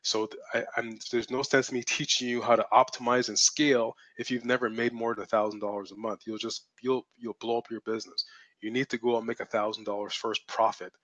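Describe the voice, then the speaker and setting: A man's voice: mid-range voice, little pitch movement, brisk, fairly bright tone, no echo, lo-fi sound. A man hosting a live webinar as a business mentor, talking bluntly and assertively, repeating points for emphasis, his voice strained and cracking. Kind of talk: live-streamed webinar teaching.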